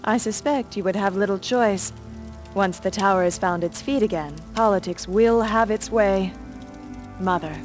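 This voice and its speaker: A woman playing a grave, formal mentor sorceress in a fantasy video game, speaking in a measured, solemn manner. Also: medium pitch, soft background music, natural voice. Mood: solemn advice. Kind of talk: dramatic fantasy game acting.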